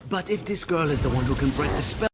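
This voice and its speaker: An actor playing a suave enchanted household servant in film dialogue, earnest, persuasive and theatrical. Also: male voice, on the high side, expressive, fast talker, music in the background, close to an everyday voice.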